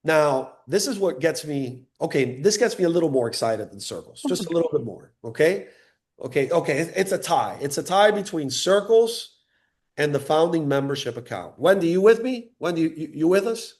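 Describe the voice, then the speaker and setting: A man's voice: fairly high pitch, sing-song, normal speed, a little mellow. A man born in Cuba and raised in Miami giving an enthusiastic sales presentation on a live call, teasing listeners by name.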